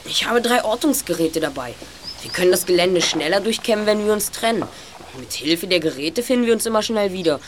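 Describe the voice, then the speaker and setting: A woman playing a methodical boy detective who leads his trio in an audio drama, explaining deliberately and decisively. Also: medium pitch, lively intonation, a little hiss or hum, close to an everyday voice. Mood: matter-of-fact. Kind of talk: amateur audio drama acting.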